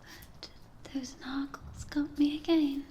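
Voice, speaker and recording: A woman playing a dreamy, whimsical young witch schoolgirl in soft, half-whispered, serene ASMR roleplay. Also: high voice, flat delivery, takes it slowly. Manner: serene, drifting, broken phrases. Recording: distant, noisy ambience.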